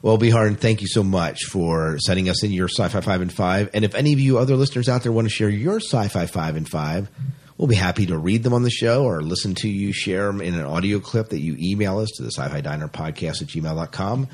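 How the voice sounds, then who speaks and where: Low husky man, chatty podcast host. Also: fairly quick, a little mellow. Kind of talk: casual sci-fi podcast chat.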